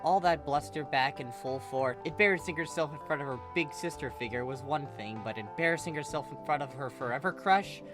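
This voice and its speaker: An expressive reader narrates a scripted story in a middle-pitched voice. Music plays clearly behind the words throughout. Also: brisk, hardly any pauses. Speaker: fan fiction reader.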